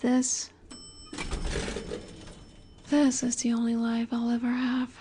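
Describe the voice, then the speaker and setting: A woman playing an ageless, possessive vampire in a romantic audio roleplay, speaking softly and breathily, often near a whisper, with a wistful, elegant, gently commanding manner. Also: a little high, husky. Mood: tender, wistful. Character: ageless female vampire boss's daughter, wistful, tender, possessive.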